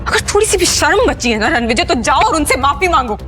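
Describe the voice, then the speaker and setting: A young, wealthy ex-wife in an audio drama, indignant and commanding. Her voice is high and scolding, with soft music behind it. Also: big pitch swings, fairly quick. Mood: indignant, hurt. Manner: scolding, haughty. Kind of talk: Hindi audio series acting.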